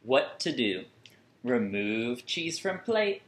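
Man in his thirties, children's storytime read-aloud, very lively pitch, singsong emphasis, roomy indoor echo. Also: slow. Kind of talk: expressive read-aloud of a picture book for children. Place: small furnished room at home, indoors.

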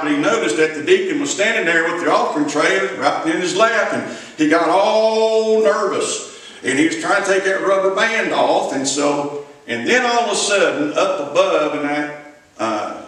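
A man's voice: on the high side, fairly bright tone. A middle-aged man with a Southern US accent, an evangelist preaching an evening church sermon in a folksy storytelling way, stretching out his phrases, recorded in a small church sanctuary from a distance. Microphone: pulpit mic from a distant camera. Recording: echoey church room, mic at a distance.

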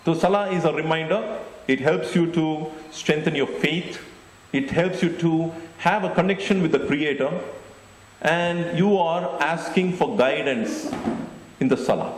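High-pitched male preacher, echoey room. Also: slight background noise. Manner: deliberate, explanatory, repeats phrases. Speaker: Islamic preacher. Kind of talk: religious lecture. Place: indoor stage with curtain backdrop. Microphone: handheld microphone.

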